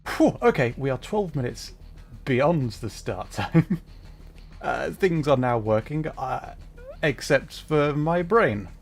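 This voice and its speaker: A man's voice: mid-range voice, animated, takes it slowly, soft background music. A man chatting casually to viewers on a livestream, talking in a hesitant, flustered way and often interrupting himself. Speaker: livestreamer, VTuber.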